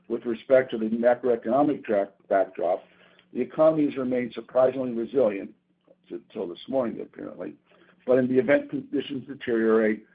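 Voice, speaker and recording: A man reading prepared company results on an earnings call in a formal, measured, businesslike way. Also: mid-range voice, breathy edge. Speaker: company executive presenting quarterly results. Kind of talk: prepared remarks read from a script on an earnings call. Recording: thin, tinny phone conference line.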